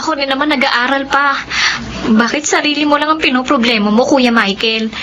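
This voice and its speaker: A high, sharp Filipino girl's voice, an anxious and emotional younger sister in a radio drama. Faint noise lies behind her. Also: brisk. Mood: reproachful.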